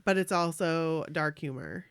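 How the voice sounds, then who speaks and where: A woman co-hosting a casual podcast, chatty and animated, who is losing her voice. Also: low voice, unhurried, clear, resonant tone, no echo, studio quality. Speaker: podcast co-host. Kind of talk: casual chat.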